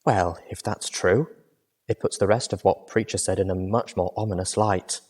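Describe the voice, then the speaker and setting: A man voicing a gentle, refined healer mare who speaks in a hushed way. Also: low-pitched, fairly even intonation, normal speed, slightly rough. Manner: soft, hushed.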